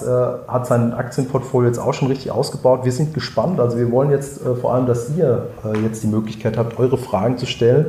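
A man's voice: mid-range voice, warm tone. A man moderating a panel in a school hall, introducing the guests in an upbeat way. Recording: roomy hall with faint ambience.

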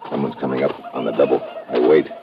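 Deep-voiced, hard-boiled American adventurer. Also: male voice, little pitch movement, fast. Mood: tense, hard-boiled. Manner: clipped, hard-boiled, slangy. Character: tough, wary American male undercover adventurer, narrating his exploits. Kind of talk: old-time radio adventure acting and first-person narration. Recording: muffled, very noisy vintage radio recording.

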